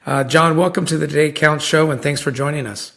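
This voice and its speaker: A man's voice: fairly high pitch, steady tone, a little fast, vocal fry, fairly bright tone. A man hosting a podcast interview, chatting casually and rambling with lots of fillers and restarts. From United States. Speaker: podcast host.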